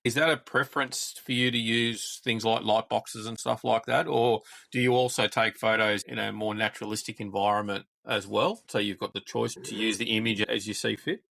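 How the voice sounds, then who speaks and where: Gravelly, bright-voiced male podcast host. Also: on the low side, steady tone, moderate pace, hardly any pauses, no room sound. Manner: rambling, stacked questions. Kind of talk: casual interview questions.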